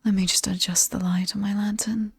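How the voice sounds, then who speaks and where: A woman with a British accent playing an alluring, sinister ageless demon in a horror ASMR roleplay, breathy and half-whispered, teasing and lingering over her words. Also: mid-range voice, level tone, fairly quick, no echo, clean studio sound. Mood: sly, sinister. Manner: seductive, teasing, theatrically ominous, lingering on words.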